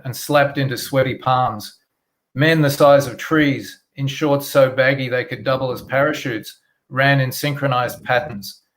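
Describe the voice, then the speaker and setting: A man, an author talking casually and reading his crime fiction aloud. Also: medium pitch. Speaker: author, interview guest.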